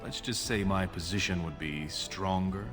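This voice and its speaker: A low-voiced, ambitious dwarf prince addresses his listener with formal, commanding resolve. It is fantasy game dialogue, with music underneath. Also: normal speed. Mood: measured, grave. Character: ambitious, authoritative dwarf prince seeking the throne. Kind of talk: video game character dialogue.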